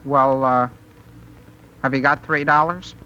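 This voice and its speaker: A man's voice: mid-range voice, steady tone, slow pace, bright, forceful tone, lo-fi sound. An actor playing an eager, nervous, hesitant teenage boy in a 1930s radio comedy. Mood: reluctant, hesitant. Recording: old 1930s radio broadcast.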